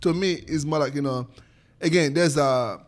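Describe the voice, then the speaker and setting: A young man with a Nigerian accent, a native speaker of a local Edo language, chatting casually and telling stories in an animated, repetitive way. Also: fairly high pitch, moderate pace. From Nigeria, Edo State. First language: Edo State local language. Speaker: podcast guest, former college athlete. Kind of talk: casual chat.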